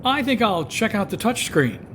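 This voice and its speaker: A man's voice: high-pitched, very animated, normal speed, noisy background. A man playing an inquisitive, skeptical undercover reporter in a radio drama, wry and a bit hesitant. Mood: flustered, curious.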